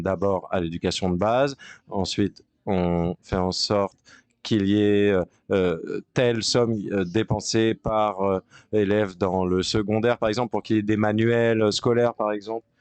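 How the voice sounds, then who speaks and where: A man interpreting a panel discussion in real time from an interpreter's booth, with a fairly low voice. He talks in halting bursts, pausing and restarting as he keeps up with the speakers. The sound is dry and close, with no room around it. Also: fairly even intonation, a little mellow, clean tone, dry recording. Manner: halting delivery with frequent pauses and restarts. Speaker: conference interpreter.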